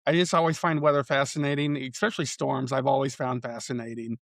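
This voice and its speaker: A man's voice: medium pitch, steady tone, fairly quick, no echo, clean studio sound. A man in his fifties from central Iowa, a storm chaser and photographer, talking casually as an interview guest, rambling with plenty of "you know" fillers and restarts. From central Iowa, USA. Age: 50s.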